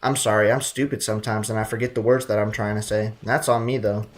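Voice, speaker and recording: A man's voice: lowish voice, fairly even intonation, fast talker. A man chatting casually and jokingly with viewers as he streams a game, using plenty of slang. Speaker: live streamer. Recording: game music behind the voice. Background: game music.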